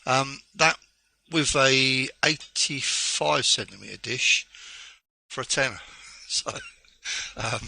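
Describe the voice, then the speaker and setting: A man on an amateur radio net, explaining technical details step by step in a halting, casual way. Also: medium pitch, vocal fry, pushed and bright, dry recording. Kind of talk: casual over-the-air chat.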